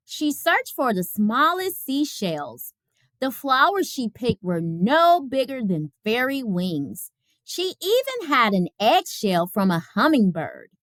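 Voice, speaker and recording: Slow, highly expressive woman storyteller. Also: no echo. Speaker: read-aloud storyteller. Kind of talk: expressive children's picture book narration.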